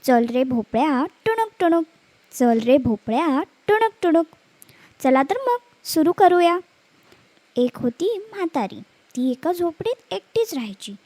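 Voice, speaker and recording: A woman's voice: high voice, big pitch swings, a little slow, darkish tone. A woman storyteller narrating a scripted children's story, speaking expressively to young listeners.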